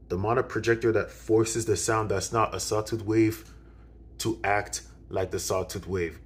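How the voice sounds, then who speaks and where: A man casually demonstrating gear as a video host, going step by step and repeating himself. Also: lowish voice. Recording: clearly noisy recording.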